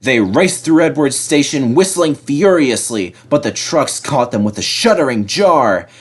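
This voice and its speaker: A man reading a children's storybook aloud as scripted, expressive narration. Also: mid-range voice, a little slow, slightly rough. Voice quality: animated, expressive delivery. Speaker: story reader.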